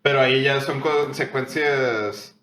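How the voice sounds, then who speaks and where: A man's voice: medium pitch, steady tone, unhurried, fairly bright tone, echoey room. A young man from northern Mexico with a northern Mexican accent, co-hosting a casual podcast chat and explaining at length in heavy slang. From Tijuana, Mexico. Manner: slangy, explains at length, drops "güey" constantly. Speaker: podcast co-host.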